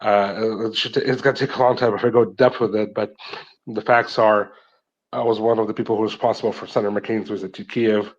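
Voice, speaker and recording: A low-voiced man from Ukraine who speaks English with a North American lilt, talking in a flat, level tone. He rambles through a long account with restarts and fillers. The sound is dull and lo-fi, like remote call audio. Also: fairly quick. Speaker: guest speaker. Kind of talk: casual spoken account in a live audio chat.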